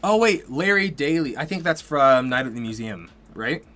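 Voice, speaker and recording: A man co-hosting a casual livestream Q&A, hoarse from a cold and losing his voice, talking in a rambling way with many ums and restarts. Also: mid-range voice, animated, moderate pace, bright, forceful tone. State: losing his voice, a little sick. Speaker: co-host of a livestream Q&A.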